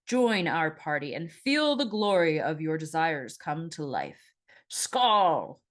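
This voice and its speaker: A woman's voice: sits low, very animated, takes it slowly, full character voice. A woman voicing a giant, jovial male sea god who speaks in a grand, ceremonious way in an audiobook. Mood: grand, celebratory.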